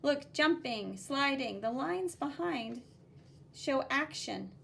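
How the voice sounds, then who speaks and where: A woman teacher reading a picture book aloud to children, explaining the drawings in a simple, instructive, expressive way with sing-song emphasis on key words. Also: high voice, slow pace, creaky, slight background noise.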